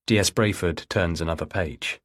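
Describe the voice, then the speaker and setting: A man narrating a thriller audiobook in a measured, dramatic way. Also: low-pitched.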